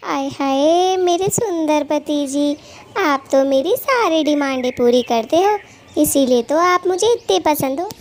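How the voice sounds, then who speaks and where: A woman's voice: sits high, unhurried, slight background noise. A woman voicing a greedy, lazy female thief bird in a children's cartoon, coy and melodramatic. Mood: coy, flattering. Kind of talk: children's cartoon story acting.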